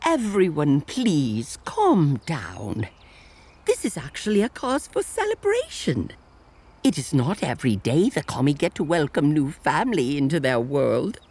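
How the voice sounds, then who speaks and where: An actor voicing a kindly yokai animal officiant in a motion comic, speaking in a measured, reverent, gentle storytelling way. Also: medium pitch, wildly swooping pitch, slight background noise. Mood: calming. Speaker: officiant and narrator. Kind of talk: scripted ceremonial storytelling. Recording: music playing behind the voice.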